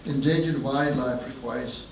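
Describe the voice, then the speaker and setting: A man's voice: mid-range voice, steady tone, unhurried, creaky, far from the mic, slight background noise. A man moderating a conference, introducing a speaker in an informal, joking way. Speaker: event moderator. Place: large conference hall. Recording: echoey hall, voice from afar.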